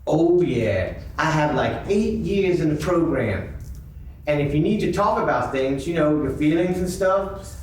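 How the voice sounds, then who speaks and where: A man playing a pushy, smooth-talking male cat in a live sock puppet show. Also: fairly high pitch, lively intonation, a little slow. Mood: friendly, insinuating. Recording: echoey convention room, far mic, faint noise.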